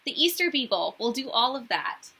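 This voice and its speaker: An earnest little boy from a children's storybook, voiced by a woman. He is stubborn and insistent, with a high, bright, slightly husky voice. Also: big pitch swings, moderate pace, full character voice. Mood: certain.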